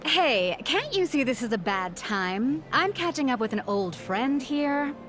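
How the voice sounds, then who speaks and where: An actress playing a snooty, dismissive social climber in a video game, with a haughty manner. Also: female voice, sits high, big pitch swings, a little slow, a bit bright, faint music behind, exaggerated voice. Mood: irritated, dismissive. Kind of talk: exaggerated video game voice acting.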